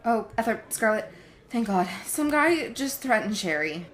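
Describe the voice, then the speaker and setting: A woman's voice: a little high, very animated, a little slow, a bit bright. A woman acting a protective, reassuring young woman in a naturalistic audio drama. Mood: worried.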